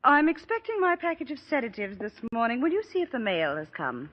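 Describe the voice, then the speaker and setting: A woman's voice: fairly high pitch, huge pitch swings, brisk. An actress playing a scheming stepmother in old-time radio drama, sugary and sarcastic, on a muffled recording. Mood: falsely sweet, taunting. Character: scheming, silky, beautiful invalid stepmother villainess.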